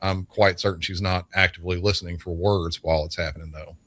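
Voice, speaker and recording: A man's voice: sits low, steady tone, quick pace, fairly bright tone, no room sound. A middle-aged man speaking conversationally as an experienced emergency dispatcher giving his expert view in an interview. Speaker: 911 dispatcher as expert guest.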